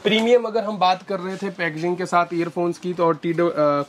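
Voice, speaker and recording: A young man showing products in a warehouse, explaining things slowly with many fillers on a lapel mic. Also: high-pitched, fairly even intonation, slight background noise. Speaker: warehouse business owner. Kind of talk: product walkthrough. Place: large indoor warehouse with shelves.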